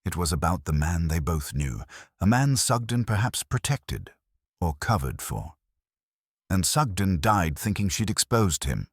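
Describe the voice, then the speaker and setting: A man voicing a pensive, methodical English police inspector in an audio detective story, measured and deliberate. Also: sits low, big pitch swings, slightly rough, no room sound, clean studio sound, heavily acted. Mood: grave, deliberate.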